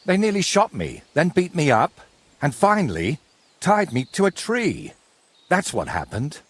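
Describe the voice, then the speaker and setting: An actor playing a wry, courteous, middle-aged medieval painter in a video game, with a husky voice and a wordy, theatrical way of talking. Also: male voice, on the high side, huge pitch swings, measured pace, heavily acted. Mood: aggrieved. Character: wry, wordy ageing medieval painter, robbed but polite. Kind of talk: game cutscene dialogue.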